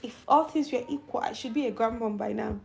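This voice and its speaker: Young-sounding woman with a gravelly edge. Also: on the high side, brisk, slight background noise. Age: middle-aged. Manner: youthful-sounding voice; chatty, reads and answers viewer comments. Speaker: channel host. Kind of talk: casual live stream chat.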